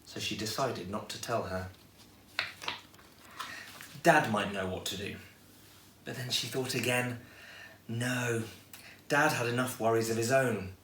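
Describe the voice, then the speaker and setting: A man reading a children's story aloud in an expressive storytelling style. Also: mid-range voice, a little slow, long pauses, a little hiss or hum. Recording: echoey room, distant microphone.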